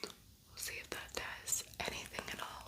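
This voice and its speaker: Whispering woman, ASMR style. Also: measured pace. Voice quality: breathy, unvoiced whisper. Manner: chatty, rambling, thinking aloud. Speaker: ASMR creator and makeup tutorial host. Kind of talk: whispered ASMR makeup tutorial with casual chat.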